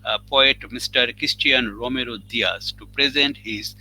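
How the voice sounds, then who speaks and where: A man's voice: medium pitch, measured pace, sharp tone, slight background noise, poor audio. A man hosting a poetry program, formally introducing each poet and thanking them. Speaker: program host.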